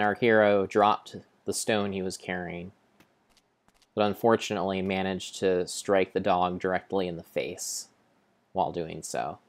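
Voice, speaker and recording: A young man improvising a mock-grand, deliberate and halting dramatic narration of a video game. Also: sits low. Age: young adult. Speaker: game streamer. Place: indoor room at home.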